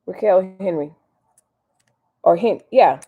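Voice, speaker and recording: A woman's voice: fairly low pitch, animated, slow, breathy edge, narrow, tinny audio. An American woman hosting a casual livestream, rambling informally in folksy slang as she answers viewers. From Miami, United States. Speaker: livestream host. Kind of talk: casual live chat with commentary.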